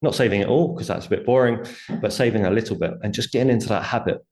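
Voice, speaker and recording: A man with a low-pitched voice talks as an interview guest, laying out his reasoning step by step in conversational speech. He slips into imagined quotes as he explains. The room echoes noticeably around his voice. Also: fast, darkish tone. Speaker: interview guest, writer and speaker on money. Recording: roomy, echoing sound.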